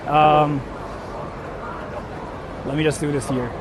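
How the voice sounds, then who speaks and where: A man's voice: fairly high pitch, little pitch movement, takes it slowly. A man working as a livestreamer, chatting casually with jokey slang as he interviews people outdoors. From Japan. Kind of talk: casual street livestream chat. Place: outdoors on a Tokyo street. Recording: noisy crowded city street.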